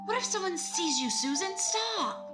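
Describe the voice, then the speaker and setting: Casting: actress as anxious modest factory girl, slow, animated pitch, period audio drama. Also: over loud music. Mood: anxious, reluctant. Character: modest, anxious young Victorian match girl, gently wry.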